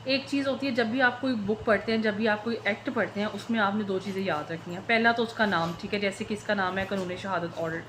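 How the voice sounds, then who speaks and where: A woman's voice: mid-range voice, steady tone, quick pace, few pauses, slight background noise, poor audio. A woman with a Pakistani accent giving an informal law lecture, switching between Urdu and English terms as she explains. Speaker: law teacher.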